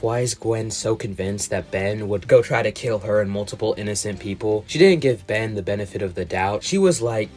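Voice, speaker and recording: Monotone sick man reviewing cartoons. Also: on the low side. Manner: monotone, self-deprecating, rambling. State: sick, throat going rough. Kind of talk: casual commentary recap.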